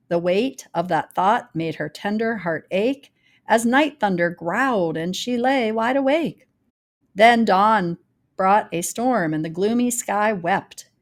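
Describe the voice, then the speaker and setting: A woman reads a children's picture book in rhyme. Her pitch sits in the middle for a woman. She tells it expressively and deliberately, leaning on key words for dramatic effect. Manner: dramatic, deliberate storytelling with varied emphasis. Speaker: storybook reader.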